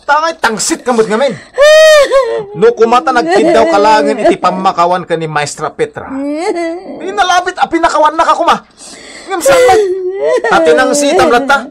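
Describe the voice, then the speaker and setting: A man with an Ilocano accent playing an exasperated, scornful husband who scolds loudly in a radio comedy drama. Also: very high-pitched, sing-song, normal speed, long pauses, a crowd in the background. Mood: angry, mocking. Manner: scolding, shouting, melodramatic.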